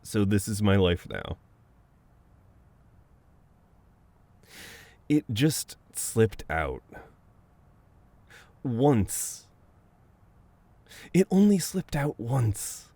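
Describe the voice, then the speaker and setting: A man's voice: lowish voice, very animated, drawn-out words, pauses often, mellow, dry recording, heavily acted. A man acting a flustered, teasing best friend with a dominant streak in an intimate, conversational ASMR roleplay, often sounding exasperated. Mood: flustered, sheepish. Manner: conversational, exasperated, breaking into chuckles and sighs.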